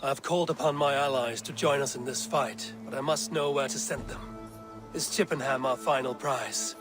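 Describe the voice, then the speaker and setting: An actor playing a measured, strategic Viking war leader in a video game, solemn and deliberate in archaic phrasing. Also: male voice, medium pitch, fairly even intonation, natural voice. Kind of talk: dramatic game cutscene acting. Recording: music behind the voice.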